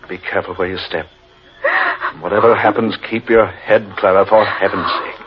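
A man's voice: lowish voice, fairly even intonation, normal speed, close to an everyday voice. An actor playing a level-headed, commanding man lost in a haunted forest, theatrical and emphatic in an old-time radio horror style. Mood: firm, urging. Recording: dull vintage radio recording with loud music.

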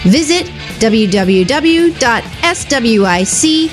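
A woman's voice: on the high side, expressive, slow. A woman announcer reading a scripted podcast outro. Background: soft guitar music.

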